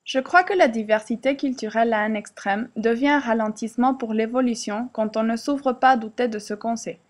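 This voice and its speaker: Higher-voiced woman reading a reflective voice-over. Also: a little fast. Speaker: student presenter. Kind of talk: scripted voice-over narration.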